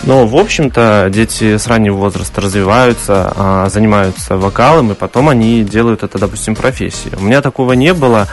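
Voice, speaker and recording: A man with a fairly low baritone voice speaks as an interview guest. He explains thoughtfully and conversationally, adding qualifiers as he goes, with faint music behind. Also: brisk, hardly any pauses. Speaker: interview guest, classical singer.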